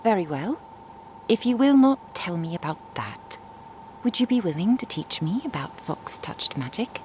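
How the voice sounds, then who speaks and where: A woman acts out a composed female merchant and master in an ASMR audio drama. Her slightly low voice moves through lively intonation as she speaks formally and inquisitively. Faint ambient noise sits behind her. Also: normal speed. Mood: curious, composed.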